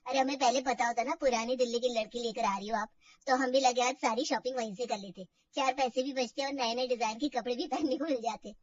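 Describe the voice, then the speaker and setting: A woman's voice: a little high, steady tone, quick pace, recorded from a distance, lo-fi sound, voice pushed down, natural voice. A woman voicing a teasing, bargain-loving older aunt figure in a family drama story, exclaiming and mock-complaining.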